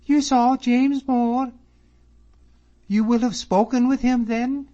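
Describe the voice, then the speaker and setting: A man putting on a proud, sharp-tongued young lady. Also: unhurried. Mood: eager. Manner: high falsetto-like put-on voice. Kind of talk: audiobook character voice. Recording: slightly muffled recording.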